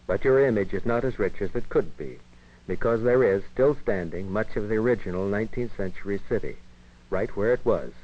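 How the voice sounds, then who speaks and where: An American man narrating a 1950s educational film in a measured, formal, literary manner. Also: lowish voice. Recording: dull 1958 film soundtrack, radio-like.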